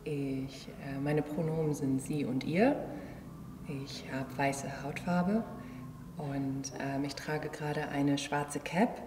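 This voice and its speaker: A woman's voice: low voice, fairly even intonation, slow pace. A woman of thirty-one introduces herself casually in an interview. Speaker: choreographer interviewee. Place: large empty theatre venue. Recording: echoey hall, distant mic, faint noise.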